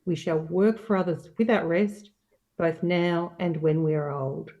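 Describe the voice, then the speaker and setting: A woman playing an earnest, patient, sorrowful young woman in an amateur play reading, speaking in a measured way. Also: fairly low pitch, steady tone, warm tone. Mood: consoling, fervent. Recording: dull, distant microphone.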